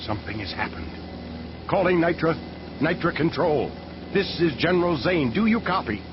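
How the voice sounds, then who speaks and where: Commanding general, lively intonation. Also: male voice, a little high, noisy background. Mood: concerned, then urgent. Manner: brisk, authoritative. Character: commanding, serious rebel general. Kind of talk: sci-fi radio drama acting. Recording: dull lo-fi radio play.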